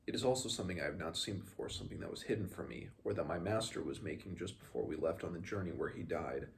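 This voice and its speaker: An earnest little sage of the mountain folk read by a man in a storybook, speaking low with a creaky edge. His phrasing is formal and turned around, as if in a learned tongue. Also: fast. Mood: grave, thoughtful. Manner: formal, inverted foreign-sounding phrasing.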